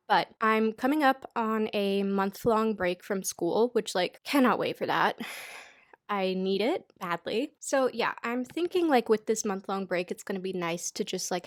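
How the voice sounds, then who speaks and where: A woman's voice: mid-range voice, dry recording, clean studio sound. Congested young woman, chatty and self-mocking. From United States. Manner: chatty, self-deprecating, lots of "like". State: congested, stuffy-nosed. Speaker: knitting YouTuber. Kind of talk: casual chatty pattern roundup.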